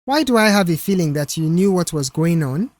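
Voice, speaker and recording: A narrator voicing a reserved young male mechanic in an audio story, speaking in a measured, firm and stern way. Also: mid-range voice, lively intonation, dry recording, lightly acted. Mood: wary, warning.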